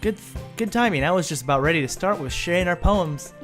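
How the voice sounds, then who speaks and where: A man voicing a cheerful, organised teenage girl who leads a club in a visual novel game, bright and perky. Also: sits high, expressive, fast talker, soft background music, pitched above the performer's own voice.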